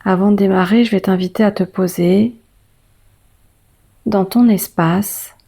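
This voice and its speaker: A woman guiding a yoga nidra meditation as the teacher, speaking in a gentle, soothing, unhurried way with long pauses. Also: medium pitch, fairly even intonation, gravelly. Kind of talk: guided meditation.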